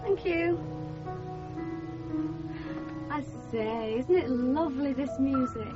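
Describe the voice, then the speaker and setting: An actress playing a sweet, eager, shy young bride with a Northern English accent in a radio drama, speaking tenderly and gushingly. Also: female voice, high voice, unhurried. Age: young adult. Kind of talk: 1970s radio drama acting. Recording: muffled 1970s radio broadcast, music behind.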